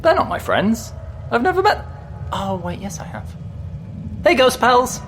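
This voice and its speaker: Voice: medium pitch, sing-song, a little slow, a bit bright, slight background noise. An actor playing a chatty, cheeky, guileless ghost in an audio drama. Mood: playful.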